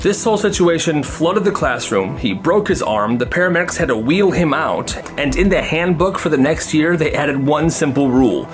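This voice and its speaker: A man with a slightly high voice narrates funny anecdotes in a casual storyteller style. His pitch swings around in a very animated way, and he slips into the voices of the people he quotes. Instrumental music with guitar plays clearly behind him. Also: normal speed, hardly any pauses. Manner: acts out quoted characters' lines. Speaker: storyteller for short comedy videos.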